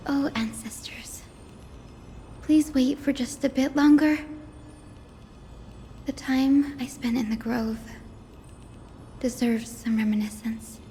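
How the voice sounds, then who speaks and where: Gentle, reflective young sky-folk scholar for a game, high register, music underneath. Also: female voice, fairly even intonation, slow pace, long pauses. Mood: wistful. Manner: soft-hearted, reflective. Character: gentle young female scholar, wistful descendant of sky people. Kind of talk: scripted game voice acting. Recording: long reverb, music behind.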